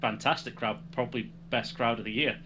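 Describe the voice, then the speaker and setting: Bright-voiced British man chatting. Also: medium pitch, fairly even intonation, fairly quick, slight background noise. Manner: chatty, laughs often. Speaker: podcast co-host. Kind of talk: casual wrestling chat.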